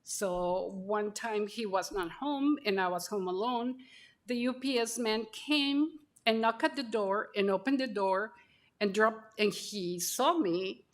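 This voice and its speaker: Woman in her sixties, Mexican Spanish accent, unhurried conference speaker, halting with many fillers. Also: medium pitch. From Mexico City. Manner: slow, halting, with frequent fillers. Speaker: call center representative and speaker. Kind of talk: personal story at a conference session. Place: conference breakout room.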